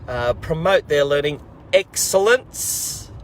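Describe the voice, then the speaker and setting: A man's voice: on the high side, animated, slow pace, slight background noise. A man, hosting a children's learning program and chatting casually to camera in an upbeat, rambling, encouraging way.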